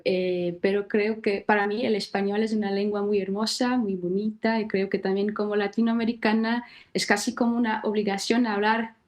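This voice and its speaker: A woman from Brazil answers interview questions in Spanish, her second language, with a clear Portuguese accent. She speaks carefully, stopping and restarting as she hunts for words. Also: medium pitch, level tone, normal speed, hardly any pauses, darkish tone. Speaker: guest singer-songwriter. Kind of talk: casual interview talk.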